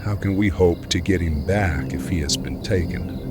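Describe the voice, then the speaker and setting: Guy doing a devout worried friar in an audio drama, deep voice, music behind. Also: moderate pace, lightly acted. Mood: anxious. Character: devout, anxious friar trying to rescue a boy.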